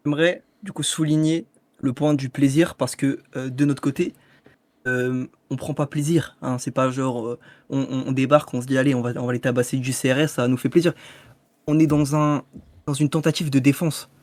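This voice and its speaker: A male protester arguing his case in a debate, earnest and insistent as he presses each point. His voice sits at an ordinary middle pitch, and the recording is dry and close. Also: fairly even intonation, normal speed, a little mellow, dry recording.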